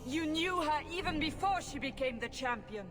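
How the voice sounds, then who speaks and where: A woman's voice: sits high, lively intonation, normal speed, music in the background. A woman playing a stern, impatient interrogator in a video game, blunt and commanding. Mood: angry. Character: stern, impatient female interrogator, forceful and demanding. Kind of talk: video game cutscene acting.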